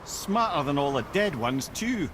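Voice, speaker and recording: An actor playing a wise, witty and boastful captive sage in a video game, speaking in a wry, theatrical way. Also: male voice, on the high side, big pitch swings, a little slow, a bit bright, a little hiss or hum. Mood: mocking. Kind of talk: dramatic game acting.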